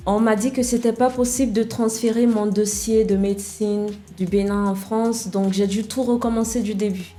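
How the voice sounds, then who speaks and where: A young woman from Nigeria whose first language is English, speaking French as a guest in an interview, candid and soft-spoken with hesitant fillers. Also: mid-range voice. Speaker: guest, web developer. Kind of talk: interview conversation.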